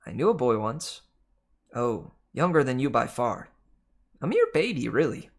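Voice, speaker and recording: Worldly male god for a storybook, played by a reader: chatty, mischievous, teasing, unhurried. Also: medium pitch, big pitch swings. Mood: wry, probing.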